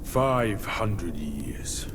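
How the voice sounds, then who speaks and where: An actor playing a vain, sinister old monk in a video game, proud and taunting, with a theatrical delivery. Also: male voice, sits low, expressive, drawn-out words, very noisy, full character voice. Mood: hushed, brooding. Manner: theatrical, swinging from hushed to shouted. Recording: loud music under the voice.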